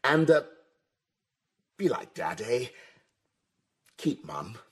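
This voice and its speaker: An actor playing an evasive, bumbling British embassy official with an upper-class English accent, hesitant and waffling, in a radio drama. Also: male voice, mid-range voice, very animated, drawn-out words, slightly rough, heavily acted. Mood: apologetic, evasive. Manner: hesitant, waffling, mealy-mouthed.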